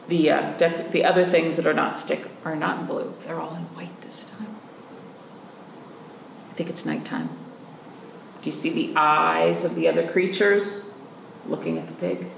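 A woman in her thirties, a children's librarian, reading a picture book aloud in an animated, playful way and asking the children questions. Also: low voice, a little slow, gravelly, long pauses, roomy sound, slight background noise, rough recording. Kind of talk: storytime read-aloud for children. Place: library room in front of a painted wall.

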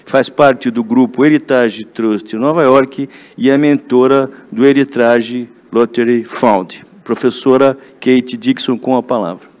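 A man's voice: mid-range voice, steady tone, unhurried, few pauses, a little mellow. A man with a Brazilian accent formally reading introductions and announcements as a panel moderator in a large auditorium. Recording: echoey conference hall, background noise.

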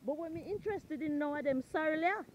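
A Jamaican woman vlogging on a farm, chatting in a lively, exclaiming way while filming on a handheld phone outdoors. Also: high-pitched, fast talker, muffled recording. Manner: lively, exclaiming, repeats catchphrases. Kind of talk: casual farm tour chat. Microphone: handheld phone camera.

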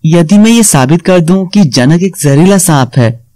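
A man's voice: on the high side, lively intonation, a little slow, mellow, no room sound, clean studio sound. A man acting young male characters of a cartoon serpent fairy tale. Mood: scheming.

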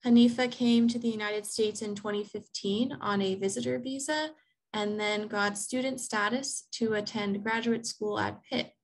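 A woman's voice: mid-range voice, steady tone, moderate pace, low-quality recording. A woman in her thirties moderating a panel, introducing the speakers in an organized way with frequent um's, over earphones on a video call. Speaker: program coordinator, moderator. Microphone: earphones with mic on a video call.

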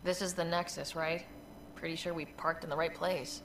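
An actress voicing a young, direct space explorer in a video game, with a plain, casual manner. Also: female voice, lowish voice, steady tone, moderate pace, natural voice. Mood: friendly, puzzled. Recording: noisy capture of game audio.

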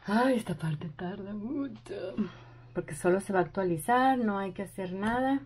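Excited, chatty young woman vlogging. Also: mid-range voice, normal speed, slightly rough. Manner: excited, chatty, thinking aloud. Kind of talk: casual vlog narration.

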